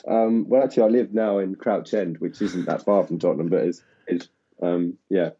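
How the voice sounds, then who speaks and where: A man from Essex, an actor, talking at length and laughing at himself in a relaxed interview. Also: deep voice, normal speed, slightly rough. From England (Essex). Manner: rambling, self-deprecating, laughs often. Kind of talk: casual interview conversation. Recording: dull lo-fi sound.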